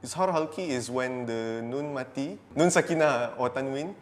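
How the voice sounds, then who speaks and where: A man in his twenties with a Malaysian accent, an excitable quiz contestant explaining rules. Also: mid-range voice, moderate pace, long reverb tail, a loud crowd. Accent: Malaysian English. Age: 20s. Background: background music.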